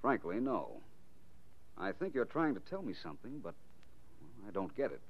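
A suave, self-assured American detective with a low voice and lively, swooping delivery. He is wry and articulate in the 1940s radio drama manner. The recording is old, dull and lo-fi. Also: normal speed, husky, heavily acted. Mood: cool, probing.

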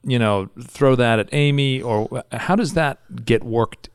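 Halting male interviewer, dry sound. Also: medium pitch, clear, resonant tone, no room sound. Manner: halting, restarting as he builds a question. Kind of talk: interview questions.

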